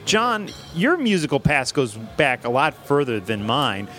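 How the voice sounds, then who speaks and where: A man in his forties hosting a music podcast, upbeat and quick to laugh and agree in casual chat. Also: a little high, very animated, bright, forceful tone. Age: 40s. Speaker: podcast host.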